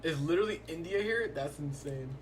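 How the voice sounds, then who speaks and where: A man's voice: fairly high pitch, brisk, slight background noise, poor audio. A young man hosting a live stream, chatting casually in a laid-back, rambling way and filling his talk with "like". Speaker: livestreaming day trader.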